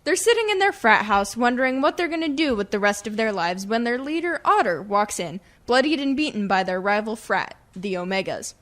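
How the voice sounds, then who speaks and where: A woman's voice: on the high side, fairly bright tone. A woman reading a scripted comic introduction as the host of a radio play, in a mock-earnest way.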